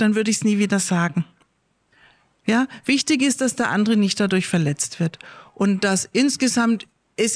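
A middle-aged woman, a school head, calmly answering pupils' questions and explaining things slowly and clearly for children. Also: medium pitch, no room sound, studio quality. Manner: slow, careful, clear wording for kids.

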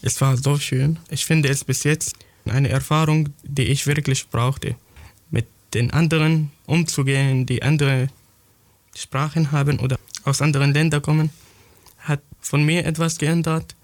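A teenage boy from Syria answers interview questions in careful, halting German, often searching for the next word. His voice has a gravelly creak, and the sound is dry and close with no room echo. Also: fairly high pitch, fairly even intonation. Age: teens. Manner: halting, searching for words, non-native grammar. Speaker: young student writer interviewed.

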